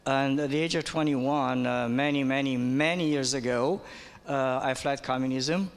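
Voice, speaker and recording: A man from Romania delivers a formal address at a conference, pausing often on ums and uhs. His voice sits at an ordinary male pitch, and it rings out with a long reverb across a large hall. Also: steady tone, gravelly, clear, resonant tone. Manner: halting, frequent ums and uhs. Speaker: panel speaker.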